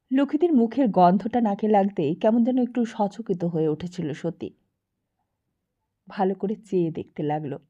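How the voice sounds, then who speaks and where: Female audiobook narrator: dark, relaxed tone, expressive storytelling, somewhat dull lo-fi sound. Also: fairly low pitch. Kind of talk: expressive scripted literary narration.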